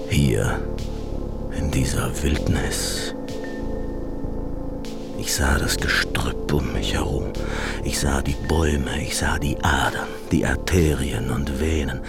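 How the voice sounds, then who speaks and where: Very deep, gravelly brooding dream narrator. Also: male voice, fairly even intonation, exaggerated voice. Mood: hushed dread. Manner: slow, hushed, breathy-intimate storytelling, often near whisper. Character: brooding male narrator recounting a dark surreal dream journey. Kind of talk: radio play monologue. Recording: loud music under the voice. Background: music.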